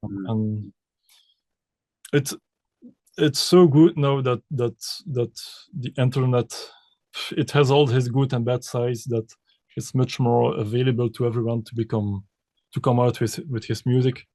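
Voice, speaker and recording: A man from Belgium, a composer and pianist, answering interview questions in halting with many restarts and repeated words. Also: medium pitch, steady tone, a little mellow, no echo.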